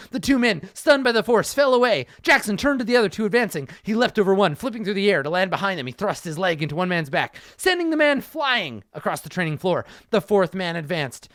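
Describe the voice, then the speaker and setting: A man reading an action story aloud, his voice lively and rising and falling with the fight scenes, breaking in with sarcastic little asides. The sound is dry and close to the mic. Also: high-pitched, no room sound. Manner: wry, sarcastic interjections.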